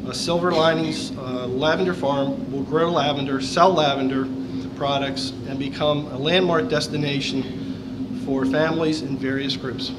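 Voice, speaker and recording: A man, a bank president, giving formal, measured sponsor remarks at a live event. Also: a little high, steady tone. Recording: loud background noise.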